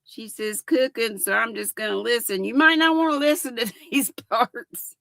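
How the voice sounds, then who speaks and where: A woman's voice: on the high side, moderate pace, gravelly, a bit bright, dry recording, clean studio sound. An older woman hosting a casual livestream, chatting folksily and giggling as she reads viewer comments aloud. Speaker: livestream host.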